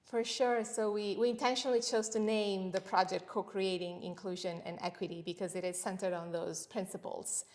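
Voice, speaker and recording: A middle-aged woman from Italy whose first language is Italian, speaking as a senior lecturer in an academic interview, measured and thoughtful, with frequent pauses. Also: medium pitch, steady tone, darkish tone.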